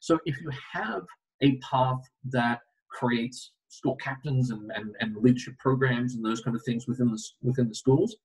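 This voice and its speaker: A middle-aged man giving a webinar presentation on a video call, telling stories with lively asides. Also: mid-range voice, husky. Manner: animated storytelling with asides. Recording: distant video call audio.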